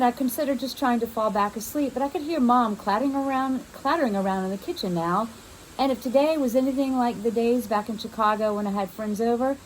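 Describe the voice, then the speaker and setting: A woman reads a children's first-person novel aloud in an expressive storytelling style, as a teacher would. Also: fairly high pitch, slight background noise, low-quality recording.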